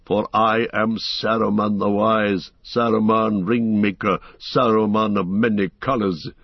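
A man voicing a proud, scornful old male wizard who speaks in a slow, sneering way. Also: fairly low pitch, little pitch movement, dry recording, highs cut off.

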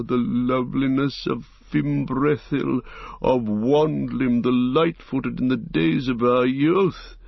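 Ancient male tree giant by a male reader, ponderous, husky, dull dry recording. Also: mid-range voice, dry recording, heavily acted. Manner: unhurried, ponderous, musing.

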